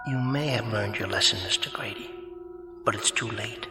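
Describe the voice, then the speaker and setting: An unseen supernatural judge in a radio drama, speaking in a lowish, measured voice with cold, knowing mockery. Long reverb surrounds the words, with music clearly underneath. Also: male voice, pushed and bright. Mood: cold, quiet.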